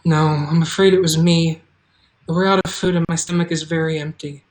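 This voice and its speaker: A woman's voice: low-pitched, steady tone, moderate pace, darkish tone, natural voice, rough recording. A woman playing a kind, practical young farm girl in a live fantasy table read, earnest and reassuring. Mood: concerned.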